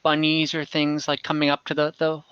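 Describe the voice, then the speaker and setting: A man's voice: a little high, monotone, brisk, fairly bright tone, clean tone, dry recording, dull audio. A man improvising narration as game master of a tabletop fantasy game over an online call.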